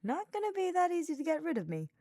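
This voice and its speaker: Woman voicing a smirking, lively young male knight. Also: extremely high pitch, fast talker, no echo, voice pushed up, full character voice. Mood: playful teasing. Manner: smirking, casual, slangy. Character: cocky, teasing young male knight, playfully nosy. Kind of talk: audiobook character voice.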